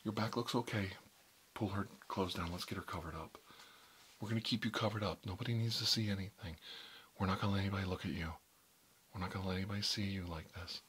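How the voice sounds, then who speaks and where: Male caregiver, soft soothing whisper, lowish pitch, faint noise floor. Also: fairly even intonation, fast. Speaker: roleplay caregiver. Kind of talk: whispered medical roleplay.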